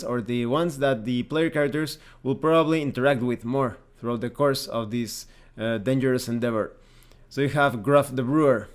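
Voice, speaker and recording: A man presenting a review in an explanatory, methodical way, as the host of a tabletop game channel. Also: medium pitch. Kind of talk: semi-scripted review narration, explanatory.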